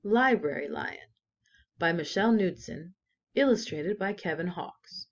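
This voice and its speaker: Lower-voiced woman reading aloud, very animated. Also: measured pace, lo-fi sound. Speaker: children's story reader. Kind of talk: expressive read-aloud storytelling.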